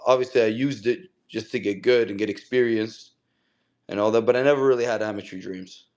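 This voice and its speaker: A man's voice: lowish voice, steady tone, fairly quick, darkish tone. A man, a pro boxer chatting casually as a guest in an interview and telling stories in loose, slangy speech. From Canada. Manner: laid-back, slangy storyteller.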